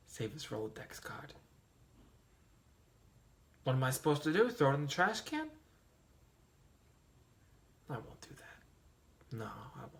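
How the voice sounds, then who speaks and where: A grieving young man, slow. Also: medium pitch, a bit bright. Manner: raw, bitter, rising to anger. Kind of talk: film drama monologue.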